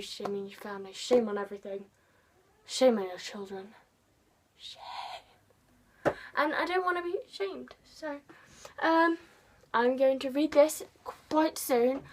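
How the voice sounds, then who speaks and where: A woman's voice: a little high, a little hiss or hum. An English woman with an English accent chatting to camera about books in a rambling, playful, self-deprecating way. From England. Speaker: book vlogger. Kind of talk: casual chat to camera.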